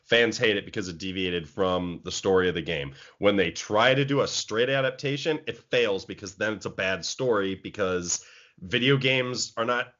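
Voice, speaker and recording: A man's voice: lowish voice, moderate pace, fairly bright tone, highs cut off. A man co-hosting a podcast in casual discussion, rambling with frequent restarts. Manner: rambling, many restarts and "it's like". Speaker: podcast co-host.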